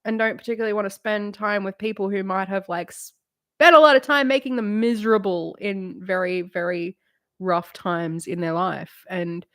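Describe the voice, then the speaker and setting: A woman's voice: mid-range voice, fairly even intonation, normal speed, dry recording, studio quality. Candid, swearing woman in her late thirties. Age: late 30s. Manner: candid and rambling, swears freely, laughs often. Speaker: streamer. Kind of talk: casual chat on a live stream.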